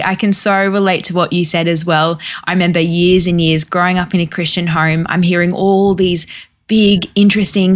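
A woman hosting an interview, with a slightly low voice. She asks steady questions and encourages her guest in a friendly way. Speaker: guest host.

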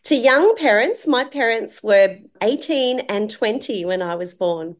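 A woman in her fifties with an Australian accent, a guest telling her life story warmly and earnestly. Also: a little high, animated, unhurried. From Melbourne, Australia. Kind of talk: interview, personal story.

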